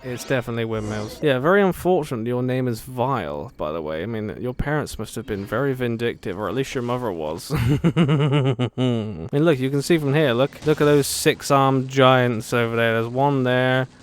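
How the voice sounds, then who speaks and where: A woman's voice: rumbling low, animated, normal speed. A woman giving casual, sarcastic gameplay commentary full of jokey asides. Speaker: gaming video host. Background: game music.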